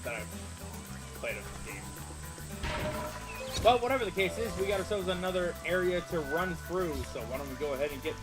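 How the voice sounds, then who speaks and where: A man's voice: high voice, lively intonation, a little fast, long pauses. A man with a stuffy head cold giving rambling, casual commentary as he streams a game. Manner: rambling, loose and chatty, with frequent restarts. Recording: loud game music behind voice.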